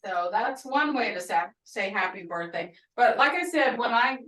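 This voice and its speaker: A woman with an Oklahoma twang teaching a language class over a video call, chatty and quick to laugh. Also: fairly high pitch, lively intonation, fairly bright tone. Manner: chatty, laughing often, storytelling asides. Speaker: language instructor. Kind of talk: casual online class teaching. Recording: roomy, distant video-call audio.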